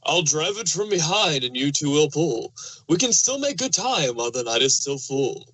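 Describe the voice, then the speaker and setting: A man's voice: on the high side, very animated, moderate pace, fairly bright tone, breathy edge, exaggerated voice, low-quality recording. A man voicing a jolly old Santa Claus, kindly and hearty, telling his lines in storybook rhyme. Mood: hearty, commanding. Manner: hearty, theatrical storytelling in verse. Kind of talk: rhyming storybook fan fiction reading.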